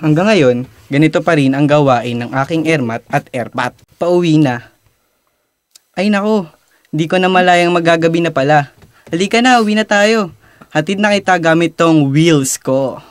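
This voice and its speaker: Young man playing conflicted teenage schoolboy, Filipino accent, slightly high pitch, earnest, amateur radio drama narrator, dry sound. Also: normal speed, no room sound, close to an everyday voice. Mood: friendly. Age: young adult.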